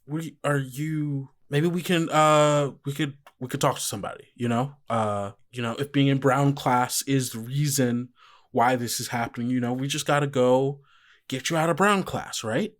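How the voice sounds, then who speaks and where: A man's voice: medium pitch, normal speed, close to an everyday voice. Man playing slangy laid-back teenage school buddy. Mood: nervous, eager. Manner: slangy, hesitant, hyped-up bro talk. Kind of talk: improvised tabletop roleplay.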